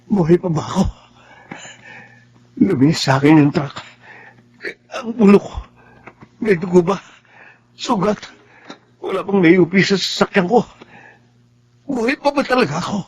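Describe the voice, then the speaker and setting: Casting: Filipino male office employee, higher-pitched voice, emotional radio-drama delivery. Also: very noisy. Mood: panicked, dazed. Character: boastful male office worker, later shaken and remorseful.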